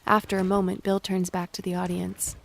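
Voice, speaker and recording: A woman's voice: fairly low pitch, level tone, a little fast, creaky, slight background noise. A woman narrating an audio drama, reading out scripted stage directions.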